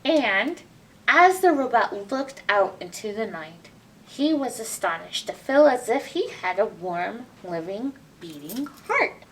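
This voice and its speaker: A woman reads a storybook to young children in a high voice that swoops around expressively. She takes it slowly and asks the listeners questions. She sounds a bit away from the mic, with some background noise. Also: very animated, fairly bright tone, distant mic. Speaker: library storytime reader. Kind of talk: read-aloud picture book storytelling for children, with asides.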